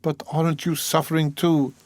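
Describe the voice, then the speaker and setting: A male narrator puts on a tender-hearted young woman, pitched high and full of distress. She is timid yet urgent, pleading and questioning in a dry storybook recording. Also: expressive, normal speed, a little mellow, no echo, close to an everyday voice. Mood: anxious questioning. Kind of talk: dramatic audiobook character reading.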